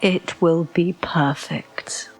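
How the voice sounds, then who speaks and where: A woman's voice: on the low side, steady tone, extremely slow, soft background music, heavily acted. A woman playing a devoted, playful, possessive British lover in an audio drama, speaking tenderly.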